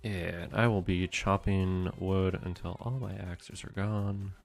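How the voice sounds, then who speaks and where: A young man streaming a game from home, chatting with his viewers in a soft, meandering way. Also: deep voice, flat delivery, takes it slowly, creaky, soft background music. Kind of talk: casual gameplay commentary.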